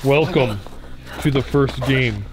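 A man's voice: medium pitch, takes it slowly, crowd noise, lightly acted, low-quality recording. A man playing a stern, easily exasperated game guard in an improvised comedy skit, announcing tersely and slipping into casual talk. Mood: commanding. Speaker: game master in a parody skit. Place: outdoors at night by a brick house.

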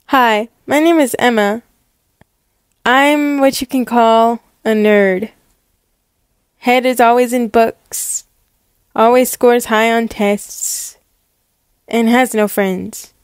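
Woman playing a deadpan bookish schoolgirl, high voice. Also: takes it slowly, pauses often, clear, resonant tone, dry recording. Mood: resigned, lonely. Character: bookish, lonely, reluctant schoolgirl nerd. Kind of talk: animated roleplay story acting.

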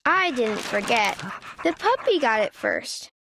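High, childlike woman reading a storybook. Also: sing-song, a little slow, gravelly, background noise. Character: earnest, forgetful little boy critter making excuses. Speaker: storybook narrator. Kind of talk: expressive children's read-aloud in a young child character's voice.

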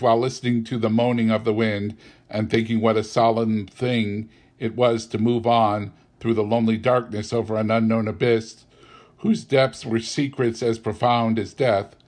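A man reading a classic story aloud as an audiobook narrator, in an expressive, theatrical storytelling manner. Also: mid-range voice. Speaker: audiobook reader.

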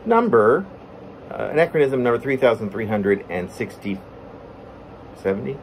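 Middle-aged man reading poetry, expressive pitch swings. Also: poor audio. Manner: halting, self-interrupting delivery. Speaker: poet reading own work. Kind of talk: informal poetry reading with asides. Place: plain room at home, indoors. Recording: steady room hum, air conditioning.

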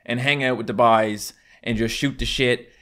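A man's voice: mid-range voice, fairly even intonation, moderate pace, a bit bright. Young man with a Newfoundland accent. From Newfoundland, Canada. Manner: joking, self-mocking, playful. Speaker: YouTuber. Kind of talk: casual vlog to camera. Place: small home room indoors.

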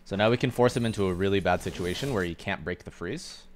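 A man casually explaining his gameplay to viewers as he plays, relaxed and instructive. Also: low voice, fast, slight background noise. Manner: instructive, relaxed, talks over his own play.

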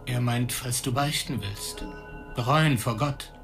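An actor playing a calm, sympathetic death-row prison guard with measured delivery in a radio drama. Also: male voice, medium pitch, faint music behind, lightly acted. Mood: subdued, patient.

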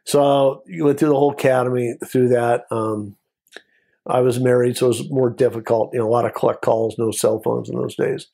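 An American man in his sixties with a husky, gravelly voice talks as a guest in an interview. He tells his stories in a casual, anecdotal way, often in short clipped phrases, at a middling pitch. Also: steady tone, a little fast, mellow. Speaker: guest, former Border Patrol agent.

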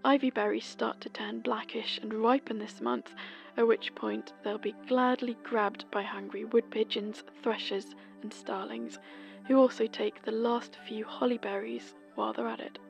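A woman from Britain, host of a folklore podcast, reading a scripted seasonal almanac in a gentle, whispery way. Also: fairly high pitch, fairly even intonation, measured pace, creaky, lo-fi sound, soft background music.

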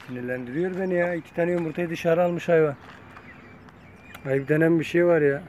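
A man's voice: a little high, brisk, a little mellow, slight background noise. A man talking casually to his viewers while filming his chickens in an outdoor yard on a phone camera, chatty and coaxing. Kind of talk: casual vlog talk to viewers.

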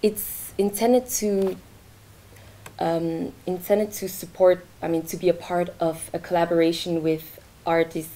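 Young woman artist, slow halting talk with fillers, lowish voice, presenting in a lecture hall. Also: fairly even intonation, mellow. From Belgium. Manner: hesitant, many fillers, gestures while talking. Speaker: artist presenting her work. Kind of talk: informal talk at a launch event. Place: lecture theatre, indoors.

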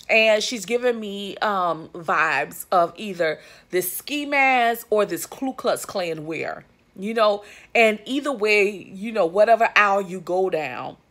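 A woman's voice: mid-range voice, creaky, a bit bright. A woman in her thirties giving emphatic, chatty commentary to her viewers from her couch, with plenty of fillers and asides. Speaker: vlogger. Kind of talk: casual commentary to camera. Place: living room, on a couch indoors. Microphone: phone or webcam at arm's length.

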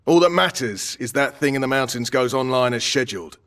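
An actor playing a blunt, cynical military grunt in a video game. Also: male voice, mid-range voice, animated, a little fast, fairly bright tone, far from the mic, lightly acted. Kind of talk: video game soldier chatter.

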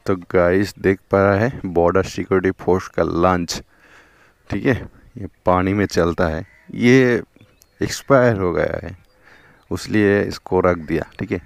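Brief: young Bengali-accented male motovlogger, lowish voice, chatty, keeps saying "okay" and "look", close dry action-cam sound. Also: a little slow, creaky, no room sound. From West Bengal, India. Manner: chatty, repeats "theek hai" and "dekho". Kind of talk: casual vlog narration while riding. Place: outdoors on a riverbank, on a motorbike. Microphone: helmet or chest action camera.

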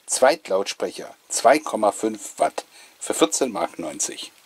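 A man's voice: on the low side, expressive, unhurried, slightly rough. A middle-aged man giving a casual, nostalgic voice-over commentary, reminiscing with wistful enthusiasm as the host. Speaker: host of a collectors' video channel.

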